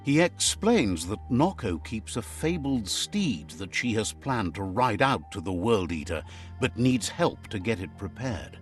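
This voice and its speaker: An actor voicing a wry, wise male storyteller, narrating a video game fable in a measured, theatrical way. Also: on the low side, sing-song, faint music behind. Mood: wry, storytelling.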